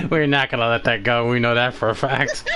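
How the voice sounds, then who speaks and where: A man chatting and commentating casually as the host of a live stream, halting and often repeating himself. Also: mid-range voice, heavy background noise.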